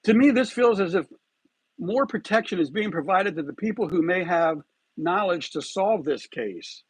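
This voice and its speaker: A man with a husky, fairly high voice delivers a scripted commentary monologue to his online audience. His pitch swings widely as he leans on rhetorical questions, and the recording is dry and close. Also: lively intonation, moderate pace, no echo, poor audio. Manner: emphatic, rhetorical, keeps asking "you feel me there?". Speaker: YouTube channel host.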